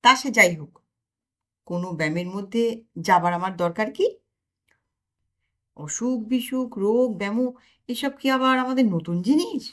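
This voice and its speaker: A woman's voice: fairly high pitch, expressive, moderate pace, pauses often, fairly bright tone. A woman voicing a lazy, mischievous young man who teases his friend with mock drama. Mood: teasing.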